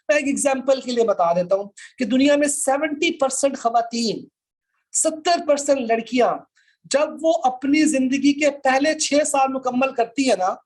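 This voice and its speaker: A man with a Pakistani accent lecturing informally to a class, explaining step by step and repeating his points. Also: very high voice. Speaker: law lecturer.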